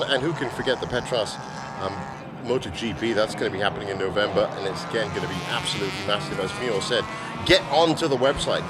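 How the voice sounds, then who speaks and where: Hoarse male race commentator over loud track noise. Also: fairly low pitch. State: slightly hoarse from previous day's commentating. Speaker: motorsport commentator. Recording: loud engine and outdoor circuit noise. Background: cars and trackside ambience.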